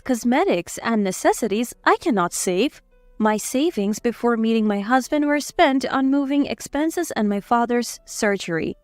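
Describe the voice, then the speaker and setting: High, lively woman storyteller. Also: no room sound. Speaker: narrator. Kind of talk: first-person storybook narration.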